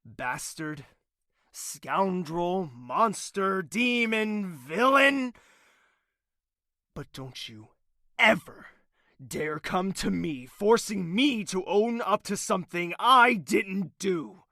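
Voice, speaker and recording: Fantasy audio drama: defiant, embittered prince played by a man, creaky and unhurried, sardonic, hushed one moment and explosive the next. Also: high voice, lively intonation, no echo, clean studio sound, exaggerated voice. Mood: bitter, furious. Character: embittered crown prince, proud self-confessed villain, wounded and defiant.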